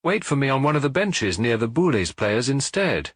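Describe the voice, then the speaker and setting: A man's voice: medium pitch, very animated, moderate pace, dry recording, clean studio sound, voice pushed up. A man voicing a middle-aged French detective who muses aloud and jokes dryly, in an audiobook. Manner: musing, dryly joking.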